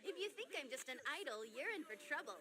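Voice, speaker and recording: A woman playing a peppy young female pop idol in a video game, with a bright, cheering delivery. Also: high voice, sing-song, fast talker, full character voice. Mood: spirited. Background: loud game music.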